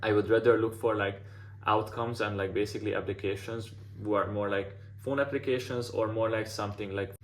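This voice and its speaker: Non-native English-speaking man, design mentor, low monotone delivery, filler-heavy casual advice, faint noise on the line. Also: a little fast, hardly any pauses. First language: not English. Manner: frequent "like" fillers and restarts. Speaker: design mentor giving portfolio feedback. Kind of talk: casual feedback session.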